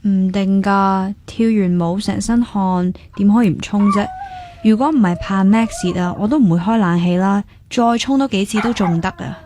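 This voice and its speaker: A woman's voice: medium pitch, normal speed, a little mellow, a little hiss or hum, close to an everyday voice. A woman with a Hong Kong Cantonese accent acting a determined, thrifty young dance teacher in a radio drama, in a plain, casual, matter-of-fact way. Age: young adult.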